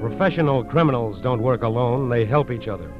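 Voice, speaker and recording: Grave American radio narrator over loud music. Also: male voice, medium pitch, fairly quick. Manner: grave, emphatic short phrases. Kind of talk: scripted 1940s radio crime narration. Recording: muffled old radio broadcast recording.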